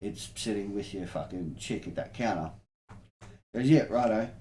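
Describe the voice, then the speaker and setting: A man's voice: on the low side, normal speed, warm tone. An Australian man co-hosting a podcast, telling stories casually in blunt, slangy, sweary language. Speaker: podcast co-host. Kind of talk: casual anecdote telling among friends. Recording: roomy echo, faint background noise.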